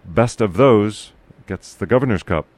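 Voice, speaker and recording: A man hosting a casual local podcast chat, with a loud, carrying voice, talking haltingly with many fillers and restarts. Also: on the low side, lively intonation, no room sound. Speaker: podcast host.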